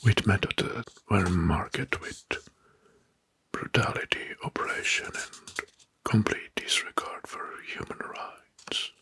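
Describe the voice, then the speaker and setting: A man whispering an ASMR history narration into a close microphone, hushed and deliberate, softly repeating key phrases. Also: sits low. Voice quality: breathy, mostly unvoiced whisper. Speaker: ASMR host.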